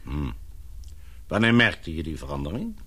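A middle-aged man playing a concerned father in authority in a radio drama, coaxing and questioning in a husky voice. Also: a bass voice, huge pitch swings, normal speed, bright, forceful tone, exaggerated voice. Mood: puzzled, probing.

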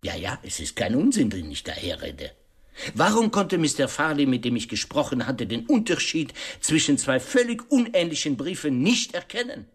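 A shrewd detective in a radio play, precise and sure of himself. His voice is slightly rough and husky, swinging widely in pitch as he builds dramatic pauses and pointed questions. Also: wildly swooping pitch, full character voice. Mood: triumphant. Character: shrewd, theatrical male detective, self-assured and precise. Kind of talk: radio drama monologue, explaining a case.

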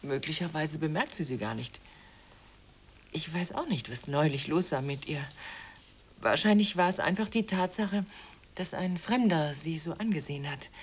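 An actress playing a weary, commanding well-to-do wife and hostess in a radio play, with a controlled, strained manner. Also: female voice, deep voice, animated, moderate pace, breathy edge. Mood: worried, weary.

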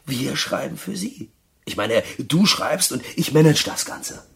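A man's voice: a little high, big pitch swings, unhurried, creaky, a little hiss or hum, exaggerated voice. An actor playing a chummy, uneducated male prison cellmate with schemes in a radio drama, colloquial, eager and wheedling. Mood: eager, persuading. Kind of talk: German radio drama acting.

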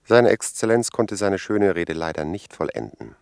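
An actor playing a grave expedition member who gives a formal, measured eyewitness report in a 1950s German radio drama. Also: male voice, deep voice, very animated, creaky, darkish tone, slight background noise, full character voice. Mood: dry, reporting.

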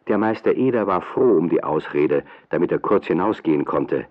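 Very deep male storybook narrator. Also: expressive, moderate pace. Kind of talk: scripted children's story narration. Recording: dull, lo-fi radio play recording.

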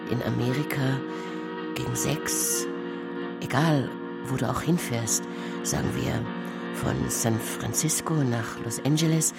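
Female radio-play reader, extremely low and gravelly, measured poetic fragments over loud music. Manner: measured, fragmented phrases, at times whispered. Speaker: performer in an art radio drama. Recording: voice under loud instrumental music. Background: loud instrumental music.

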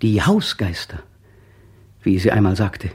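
A restless young man lost in his own thoughts in a vintage radio play. His husky, breathy voice wanders widely in pitch, muttering one moment and rising feverishly the next. The delivery is tense and inward, as if talking to himself. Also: very animated, measured pace, darkish tone, exaggerated voice. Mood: brooding. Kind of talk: 1960 radio play inner monologue.

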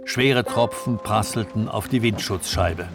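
A man's voice: lowish voice, a little slow, loud music behind the voice. A man reading scripted third-person narration for a radio drama.

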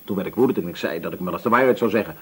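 Very husky, desperate young suspect. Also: male voice, low voice, rapid-fire, a bit bright, full character voice. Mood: downcast, confessing. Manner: emotional, pleading. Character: desperate, hot-tempered young male murder suspect. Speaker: actor in a radio play. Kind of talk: Dutch radio drama acting.

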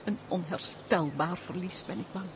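An actress playing a compassionate married lady in a radio drama, expressive and earnest in a theatrical way. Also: fairly low pitch, moderate pace, background noise, natural voice. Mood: pitying.

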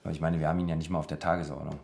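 An actor in a radio drama playing an earnest, idealistic local politician who speaks articulately. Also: male voice, rumbling low, flat delivery, racing, creaky, darkish tone. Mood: wry, frustrated. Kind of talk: naturalistic German radio drama.

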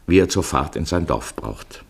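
A deep-voiced man narrates a 1950s radio travel feature. His intonation moves widely as he paints scenes in vivid, measured literary storytelling. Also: animated, vocal fry, husky.

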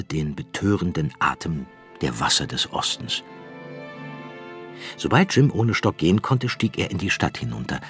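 Casting: male narrator for literary radio play, very low voice, measured delivery over faint music. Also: a bit bright. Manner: measured, storytelling in long literary sentences.

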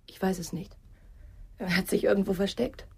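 An actress playing a hesitant, quietly candid woman in restrained radio play dialogue. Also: mid-range voice, fairly even intonation, moderate pace, vocal fry, breathy edge. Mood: reluctant, candid.

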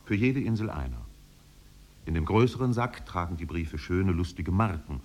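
An actor narrating a 1950s German radio play as a solemn, contemplative storyteller with measured, poetic delivery. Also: male voice, sits low, animated, a little mellow. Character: solemn, contemplative male storyteller of island exiles. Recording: faintly noisy 1950s radio recording.

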